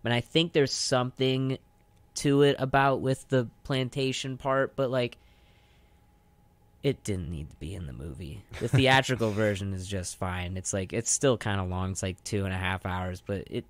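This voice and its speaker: A young American man chats casually as a radio co-host. His voice sits on the low side and he rambles, leaning on "like" as he goes. The sound is dry, with little room to it. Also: normal speed, no room sound. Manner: rambling, heavy use of "like". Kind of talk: casual chat. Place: radio studio.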